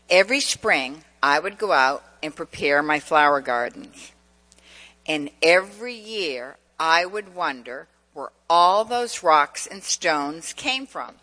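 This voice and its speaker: Woman preacher, Massachusetts accent, bright, long church reverb. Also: fairly low pitch. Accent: central Massachusetts. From New England. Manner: asks the congregation questions, personal anecdotes. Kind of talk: sermon.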